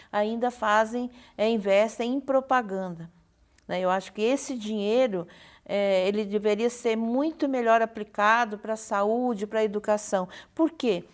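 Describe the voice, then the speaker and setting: A Brazilian woman with a fairly high voice speaks as a professor being interviewed. She explains her points haltingly, doubling back on words and adding little checking tags. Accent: Brazilian Portuguese. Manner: hesitant, halting phrasing with repeated words and frequent checking tags. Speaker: university professor and communication researcher, interview guest. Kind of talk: explanatory television interview answers.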